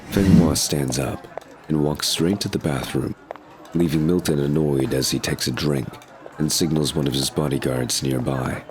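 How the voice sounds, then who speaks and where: A man's voice: a bass voice, steady tone, normal speed. A man narrating a scripted story as the narrator of an audio drama. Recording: noisy urban ambience behind.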